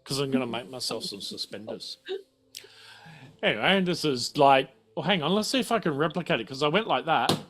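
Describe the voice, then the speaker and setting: A man's voice: medium pitch, animated, a little fast, fairly bright tone. A man running a tabletop game casually, rambling, swearing and interrupting himself. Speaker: game master.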